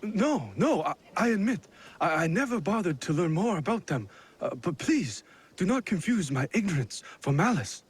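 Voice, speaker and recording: Husky, flustered feudal lord. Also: male voice, sits high, sing-song, normal speed, full character voice. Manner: stammering, formal, eager to please. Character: anxious, deferential lord of feudal Japan, righteously indignant. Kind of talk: video game cutscene acting.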